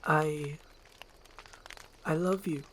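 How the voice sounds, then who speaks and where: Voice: medium pitch, steady tone, very slow, a little hiss or hum. A performer voicing an earnest, tender-hearted male ogre hero in a storybook roleplay. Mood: shy, tender.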